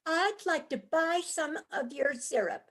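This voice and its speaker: Woman acting high-voiced, wide-eyed tourist wife. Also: unhurried, a bit bright. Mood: curious, eager. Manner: eager, wide-eyed, chirpy. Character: naive, cheerfully enthusiastic tourist wife, easily delighted. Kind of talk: amateur staged play reading.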